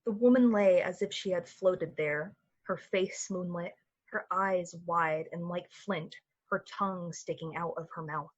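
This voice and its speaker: A woman's voice: on the low side, a little slow, breathy edge, muffled recording. A woman playing the solemn storyteller of an eerie radio play, narrating a scripted tale. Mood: eerie, descriptive.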